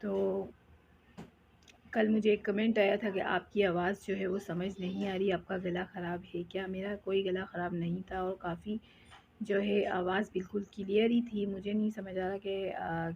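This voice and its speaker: A woman's voice: mid-range voice, vocal fry, darkish tone, muffled recording, slight background noise. A young woman chatting casually as she vlogs her housework at home, rambling with many fillers. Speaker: vlogger. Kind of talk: casual home vlog narration.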